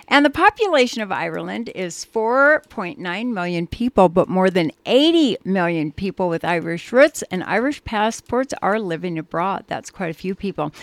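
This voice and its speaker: A woman's voice: mid-range voice, dry recording. A woman co-hosting a radio show, chatting and reading ads in an upbeat way with bursts of emphasis. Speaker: radio co-host.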